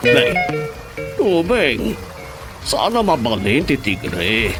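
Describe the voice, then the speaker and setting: An actor playing a doubtful priest with a Filipino Ilocano accent in a radio comedy skit. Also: male voice, medium pitch, huge pitch swings, extremely slow, over loud music, full character voice. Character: doubtful priest questioning a baby's name.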